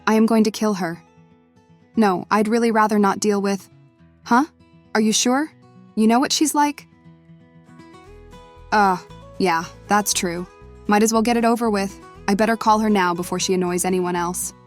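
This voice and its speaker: A woman playing a guarded, no-nonsense but fair-minded woman in an animated web series, with a dry, measured manner. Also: medium pitch, soft background music, natural voice. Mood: weary, annoyed.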